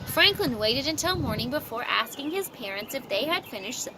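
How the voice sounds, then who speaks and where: High-pitched, animated woman reading a children's story. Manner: expressive, deliberate storytelling phrasing. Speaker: storybook reader. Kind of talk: read-aloud of a children's story with chatty asides. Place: outdoors at a park. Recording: noisy outdoor amateur recording, ambient rumble.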